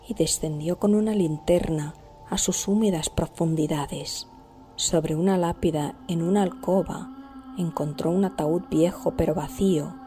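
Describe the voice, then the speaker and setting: A woman narrator giving a measured, scripted reading of a gothic horror story. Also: fairly low pitch, warm tone. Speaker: audiobook narrator. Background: music.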